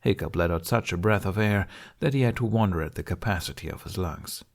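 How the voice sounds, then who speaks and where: A man's voice: sits low, a little fast, creaky. A man reading a fiction audiobook as its narrator, in a scripted storytelling style.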